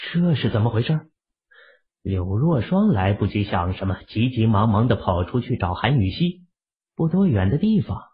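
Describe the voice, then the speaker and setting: Husky, low male narrator, emotive novel reading, dull lo-fi audio. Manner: emotive storytelling. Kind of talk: scripted narration of a fantasy novel. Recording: muffled lo-fi audio.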